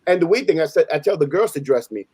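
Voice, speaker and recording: Male panel storyteller, native Spanish speaker, slangy and emphatic, rapid delivery, slightly high register. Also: animated, dark tone, breathy edge. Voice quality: slangy, informal street talk. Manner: emphatic, repeats phrases, acts out quoted dialogue. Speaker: panelist on a live open panel. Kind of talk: casual animated storytelling.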